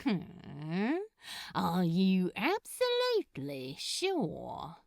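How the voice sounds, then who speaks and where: Woman voicing a slow, drawling, teasing male demon. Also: on the low side, wildly swooping pitch, creaky, no room sound, studio quality, full character voice. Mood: grumbling, reluctant. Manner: casual, drawling, teasing banter. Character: teasing, grumbling male demon, casual and sly.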